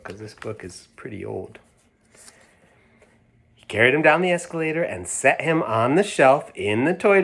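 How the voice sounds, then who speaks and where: Gentle man reading a picture book aloud. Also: fairly low pitch. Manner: gentle, talking as if to young children. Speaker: storybook reader. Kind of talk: read-aloud picture book with chatty asides.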